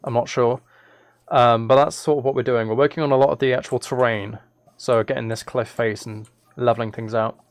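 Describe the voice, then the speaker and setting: A man's voice: medium pitch, steady tone, fairly quick, dry recording. Man streamer rambling casually. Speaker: live streamer. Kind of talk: casual live stream chat while gaming.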